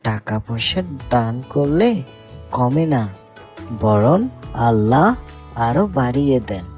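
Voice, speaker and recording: A woman's voice: a bass voice, expressive, slow, a little mellow, pitched below the performer's own voice, exaggerated voice. A woman voicing a devoted, generous male songbird, a husband and father, speaking tenderly and earnestly in a sentimental cartoon. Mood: kindly, reassuring. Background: music and birdsong.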